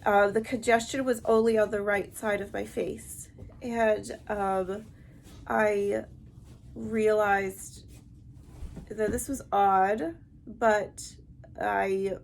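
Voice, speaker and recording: A woman with a stuffy, heavily congested nasal voice, talking to camera in a halting, explanatory way in a personal vlog. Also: medium pitch, fairly even intonation, vocal fry. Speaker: vlogger. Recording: amateur recording with light background noise.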